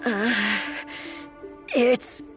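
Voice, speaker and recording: A woman playing a solemn, regal warrior goddess who is weakened but resolute, speaking gravely and formally in a video game. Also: over music. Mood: grave, determined. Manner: grave, formal, halting delivery. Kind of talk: dramatic English video game voice acting.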